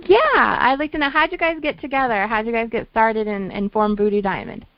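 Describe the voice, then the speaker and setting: A woman's voice: a little high, a little fast, lo-fi sound. A woman hosting a radio show, chatting to a band on the line with rambling restarts. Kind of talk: radio interview.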